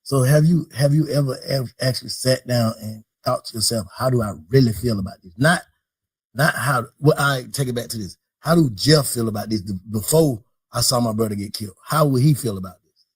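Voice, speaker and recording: Husky man probing with halting questions. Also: medium pitch. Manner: probing, halting, repeats himself. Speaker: interviewer. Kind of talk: casual interview questions.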